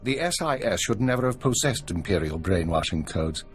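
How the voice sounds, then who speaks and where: An actor playing a calculating, unflappable intelligence minister in a video game, measured and authoritative in his delivery. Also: lowish voice, big pitch swings, soft background music. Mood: cool, controlled. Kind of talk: video game cutscene acting.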